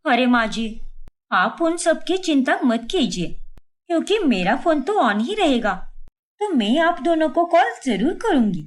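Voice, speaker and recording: A woman voicing a scheming, sharp-tongued young wife in a cartoon story, speaking in a theatrical, defensive way. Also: high-pitched, big pitch swings, a little slow. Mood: smooth-talking.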